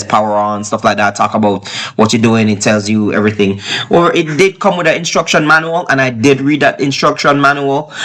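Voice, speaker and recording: A man talking casually to camera as he reviews gear at home, explaining haltingly and repeating phrases for emphasis. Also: medium pitch, a bit bright. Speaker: product reviewer. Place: child's bedroom at home.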